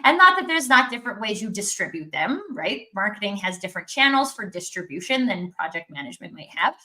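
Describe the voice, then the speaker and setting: A woman's voice: mid-range voice, big pitch swings, brisk, pushed and bright, rough recording. A woman hosting an interview show, enthusiastic and talking through her ideas at length. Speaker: podcast host. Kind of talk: interview conversation.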